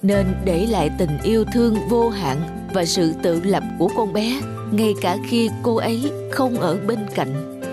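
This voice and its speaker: A woman voicing a grieving young father in an animated dub; she speaks softly and low, with music playing under her. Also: a little slow, natural voice. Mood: reflective. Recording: studio voice over music.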